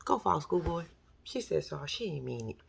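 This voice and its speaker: A woman with a raspy voice and a New York accent, chatting casually on her vlog in a slangy, animated way. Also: low-pitched, a little fast. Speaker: YouTube commentary host.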